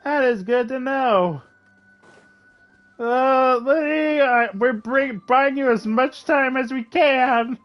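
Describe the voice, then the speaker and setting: A woman's voice: high voice, slow pace, highs cut off. A woman streaming a game, casually reacting and talking back to it.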